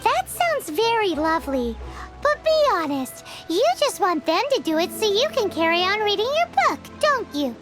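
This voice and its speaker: A woman voicing a tiny, childlike, cheeky fairy companion in a video game, blunt and teasing, with a squeaky voice. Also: very animated, normal speed. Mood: doubting, teasing. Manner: speaks of herself in third person, blunt, teasing. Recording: music loud behind the voice.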